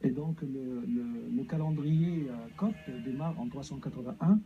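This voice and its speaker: A man's voice: medium pitch, measured pace, highs cut off. A man from Egypt, a guest answering interview questions hesitantly, with many fillers and restarts.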